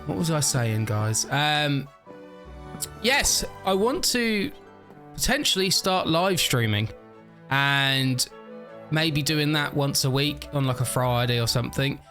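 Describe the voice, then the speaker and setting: Stuffy-nosed gamer rambling along. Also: male voice, fairly high pitch, sharp tone. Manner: rambling, chatty, laughs at himself. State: stuffy, slightly nasal; overtired. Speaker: gaming YouTuber. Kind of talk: casual let's-play commentary.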